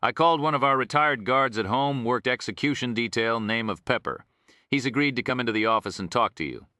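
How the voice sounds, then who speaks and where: A man's voice: medium pitch, fairly quick, pushed and bright, no room sound, clean studio sound, pitched above the performer's own voice, lightly acted. A man voicing a polite, helpful Texan prison warden with a drawl in an audiobook. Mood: eager, helpful. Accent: Texas.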